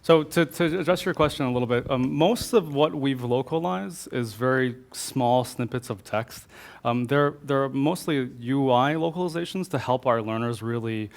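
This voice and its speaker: Guy co-presenter at a tech talk, mid pitch, chatty answers that keep restarting. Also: moderate pace, creaky. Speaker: conference co-presenter. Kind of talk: informal question-and-answer at a tech conference.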